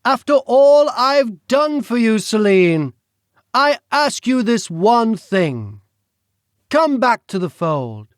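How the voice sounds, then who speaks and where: A man voicing a grandiose, menacing duke with a British accent, slow and theatrical. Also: very high voice, dry recording, clean studio sound, pitched above the performer's own voice, full character voice. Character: suave menacing male duke, grandiose villain.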